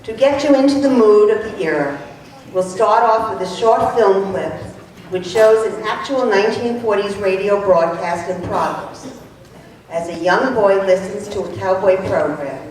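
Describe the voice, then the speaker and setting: A woman's voice: medium pitch, unhurried, darkish tone. A woman making a prepared welcome announcement to an audience as the host introducing a play. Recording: echoey hall, far from microphone.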